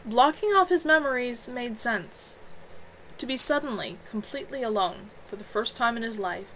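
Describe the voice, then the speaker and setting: A woman's voice: a little high, normal speed, fairly bright tone. Woman reading fiction, noticeable background noise. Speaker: author reading own novel on a livestream. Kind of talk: narrated fiction reading, amateur. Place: at home. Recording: noisy home livestream audio.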